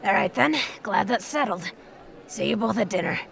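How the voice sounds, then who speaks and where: A woman playing a tough, protective old adventurer with a raspy voice in an audio drama. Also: medium pitch, expressive, moderate pace, a bit bright, a faint crowd. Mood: brisk. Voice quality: raspy, gravelly.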